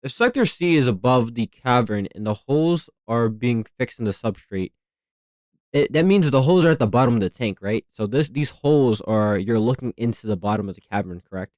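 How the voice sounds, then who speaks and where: A man giving casual, rambling commentary as a host, slangy, repeating himself and thinking out loud. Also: medium pitch, lively intonation, fairly quick, no echo, clean studio sound. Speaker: theory video host reacting to horror series.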